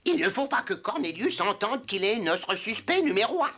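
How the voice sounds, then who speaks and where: A woman's voice: medium pitch, big pitch swings, normal speed, a bit bright. A woman voicing a mischievous, suspicious young male monkey sidekick in a French cartoon, conspiratorial and theatrical.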